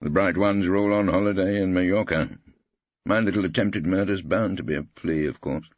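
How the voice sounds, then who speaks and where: A man's voice: low-pitched, moderate pace, no room sound, dull audio. A man voicing an irreverent, ageing English barrister in an audiobook, pompous, ironic and mock-incredulous. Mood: dry, resigned.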